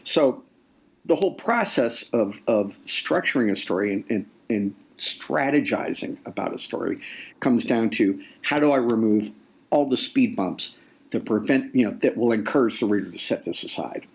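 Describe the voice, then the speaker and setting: A man speaking as an author guest in a conversational interview, explaining deliberately and repeating phrases for weight. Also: fairly low pitch, lively intonation, creaky. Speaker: nonfiction author, podcast guest.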